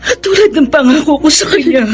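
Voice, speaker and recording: A woman's voice: sits high, animated, brisk, music in the background. An actress playing a dutiful, emotional mother in a Filipino radio drama. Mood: anguished, resolute.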